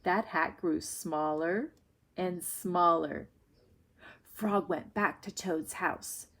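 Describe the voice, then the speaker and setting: A woman teacher reading aloud to young children and explaining her thinking in a deliberate way. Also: lowish voice, fairly even intonation, a bit bright. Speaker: primary school reading teacher.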